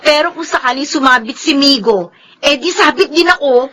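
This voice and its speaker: A woman's voice: sits high, normal speed, a bit bright. A Filipino woman acting a bold, flirtatious, hot-tempered office schemer in a radio drama. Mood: annoyed, worried.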